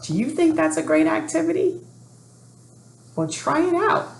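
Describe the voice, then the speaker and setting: A woman speaking deliberately and expressively as an educator doing a children's read-aloud for families. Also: very high-pitched, big pitch swings, gravelly. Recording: echoey room with faint background noise.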